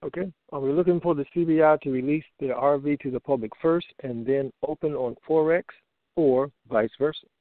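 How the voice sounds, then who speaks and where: A man's voice: a little high, a little fast, no echo. A man co-hosting a casual conference call over a phone line, moderating and reading out listeners' questions.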